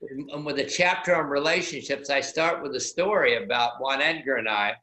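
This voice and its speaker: Male call host, chatty and reflective, slightly high and bright, in an echoey room. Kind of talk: casual video call chat. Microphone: video call.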